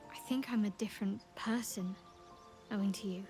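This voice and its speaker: A woman's voice: medium pitch, steady tone, normal speed, a little mellow, faint music behind, close to an everyday voice. An actress playing an earnest, devoted woman in a video game.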